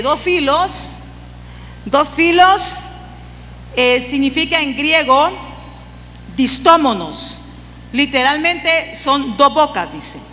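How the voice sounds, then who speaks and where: A woman's voice: high-pitched, pushed and bright. A woman preacher giving a sermon with personal testimony, speaking emphatically and repeating phrases for effect. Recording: long hall reverb, clear background noise.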